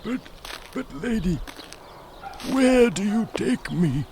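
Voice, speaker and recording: A man playing a suffering, despairing medieval leper in an audio drama, speaking in a halting, pained way. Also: high-pitched, lively intonation, background noise, full character voice. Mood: pained, warning.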